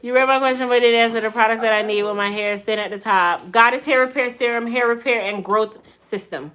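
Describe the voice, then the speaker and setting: A woman's voice: on the high side, fairly quick, fairly bright tone. A middle-aged American woman, a hairstylist, chatting casually with live-stream viewers, joking and teasing as she answers. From United States. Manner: joking, teasing, laughs often.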